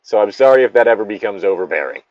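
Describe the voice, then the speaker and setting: A man reads aloud and breaks off for informal commentary. His voice is on the low side and moves around in a lively way. The recording is slightly dull and lo-fi. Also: very fast, no room sound. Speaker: co-host reading a story aloud. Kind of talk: read-aloud with casual commentary.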